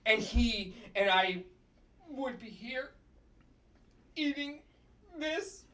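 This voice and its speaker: A performer acts out a cynical puppet prosecutor in a sitcom script. The delivery is unhurried and blunt, full of sardonic wisecracks. The voice sits back from the microphone. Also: mid-range voice, lively intonation, a bit bright, distant mic. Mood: somber. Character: gruff wisecracking cynical puppet district attorney. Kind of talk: comedy script table read.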